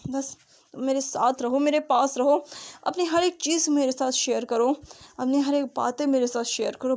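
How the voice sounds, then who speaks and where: A woman voicing a calm, possessive young husband who speaks slowly and softly to soothe. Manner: slow, low, soothing and hushed.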